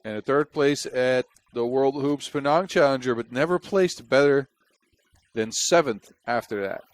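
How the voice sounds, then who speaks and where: A man with a Dutch accent gives play-by-play commentary on a basketball game at an ordinary middle pitch. He talks in a chatty, wry way and slips jokes in between the action. His voice sounds dry and close to the mic. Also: a little slow, no room sound. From Netherlands.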